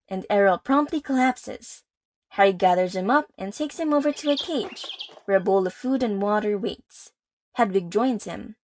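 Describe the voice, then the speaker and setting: A woman's voice: mid-range voice, darkish tone, no echo. A woman reading scripted narration for an audio drama as its storyteller. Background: music.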